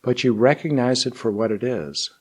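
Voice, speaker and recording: A man teaching and guiding meditation in deliberate, measured phrases with long pauses. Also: mid-range voice, expressive, darkish tone. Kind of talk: guided meditation and spoken teaching.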